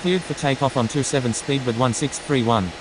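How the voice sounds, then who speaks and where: A businesslike female airline pilot, played by an actress in a flight game, has an extremely low voice. She gives scripted radio calls through clear hiss. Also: brisk.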